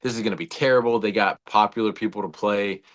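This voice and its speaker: A man's voice: on the low side, fairly even intonation, fast talker, a bit bright. An American man co-hosting a show, explaining his opinions in an emphatic, conversational way. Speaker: show co-host. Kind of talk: casual opinionated chat.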